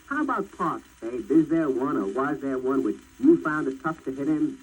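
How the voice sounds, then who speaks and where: Very raspy American 1940s radio interviewer. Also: male voice, mid-range voice, lively intonation, moderate pace. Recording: crackly, sizzling 1940s radio disc.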